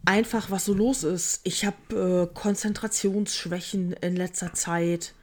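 A woman's voice: on the low side, fairly even intonation, measured pace, a little mellow. Joking, chatty woman podcaster. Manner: joking, chatty, self-mocking. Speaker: podcast co-host. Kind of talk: casual chat.

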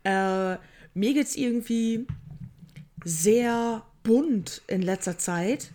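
A woman's voice: on the high side, very slow, dark tone. Joking, chatty woman podcaster. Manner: joking, chatty, self-mocking. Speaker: podcast co-host. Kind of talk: casual chat.

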